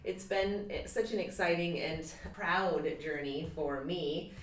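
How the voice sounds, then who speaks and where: A woman's voice: lowish voice, slow, reverberant, slight background noise. A woman hosting a casual interview in an office, encouraging her guest with many short affirmations.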